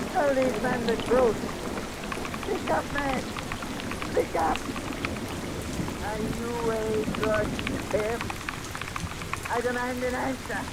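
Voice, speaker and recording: High-pitched, frantic, vengeful old-radio sea captain. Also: male voice. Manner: overwrought, insistent, repetitive, declamatory. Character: frantic, vengeful mutiny-survivor sea captain, half-crazed and accusing. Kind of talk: old radio horror drama acting. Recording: old radio drama under heavy rain noise. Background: loud rain and thunder.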